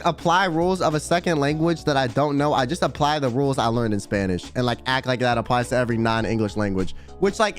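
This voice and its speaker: A young man chatting casually on a late-night stream, full of slang and loose tangents. His voice sits a little high for a man and swings widely in pitch. Faint background music plays under him. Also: fairly bright tone. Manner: slangy, rambling, reads chat aloud.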